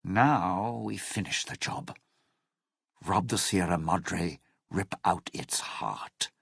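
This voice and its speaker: Low, gravelly, sneering schemer. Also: male voice, takes it slowly, fairly bright tone, dry recording, clean studio sound, exaggerated voice. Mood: cold, vengeful. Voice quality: husky, gravelly. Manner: sardonic, theatrical, sneering. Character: bitter, scheming male lounge singer and thief, smug and vengeful. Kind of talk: video game character dialogue.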